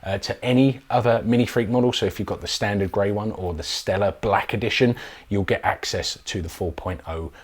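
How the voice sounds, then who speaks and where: Male product demonstrator, lowish voice, casual and conversational delivery. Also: fairly quick.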